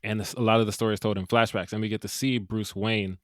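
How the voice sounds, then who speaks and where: A man co-hosting a casual movie review podcast, animated and jokey with quick asides. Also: on the low side, no room sound, studio quality. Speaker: podcast co-host.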